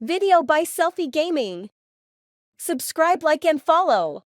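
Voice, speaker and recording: A woman with a high, lively-pitched voice announces for a video channel. She reads a scripted promo slowly, in a dry recording. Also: dry recording.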